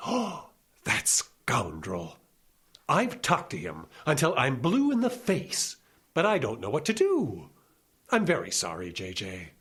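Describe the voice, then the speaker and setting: A man's voice: medium pitch, huge pitch swings, slow pace, fairly bright tone, slightly rough, heavily acted. Man acting a swooping, courtly eccentric old doctor. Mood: apologetic. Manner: courtly, effusive, whimsical. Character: genial eccentric old doctor who takes dictation from ghosts. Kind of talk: audio comedy drama acting.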